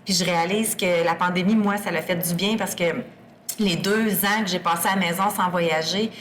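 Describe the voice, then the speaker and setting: A woman's voice: lowish voice, fairly even intonation, moderate pace, slight background noise. A woman in her forties with a Québécois French accent, hosting a podcast in a chatty, easygoing way and laughing easily. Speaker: podcast host. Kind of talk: casual podcast conversation.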